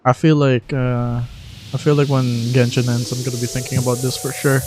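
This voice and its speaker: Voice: medium pitch, little pitch movement, a little fast, a little mellow, a little hiss or hum. A young adult video host giving a casual, self-deprecating announcement to viewers, with some casual swearing. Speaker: video host and amateur voice impressionist. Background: music.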